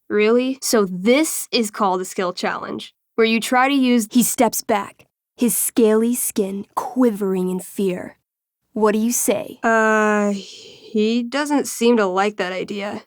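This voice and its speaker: A woman's voice: fairly high pitch, no echo, studio quality, lightly acted. An actress playing an enthusiastic young woman game master in a video game, moving between theatrical storytelling and casual, wry asides. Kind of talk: video game dialogue, dramatic tabletop narration.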